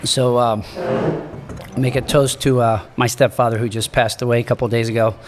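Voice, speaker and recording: A man in his sixties from Morocco, a native French speaker, telling his life story as a podcast guest in a halting, earnest way. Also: medium pitch, little pitch movement, long reverb tail. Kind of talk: reflective storytelling in an interview.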